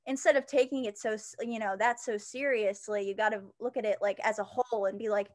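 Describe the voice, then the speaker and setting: A young woman with a high-pitched voice, chatting as an interview guest, with many fillers and restarts. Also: steady tone, a little fast, darkish tone. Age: young adult. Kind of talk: casual interview chat.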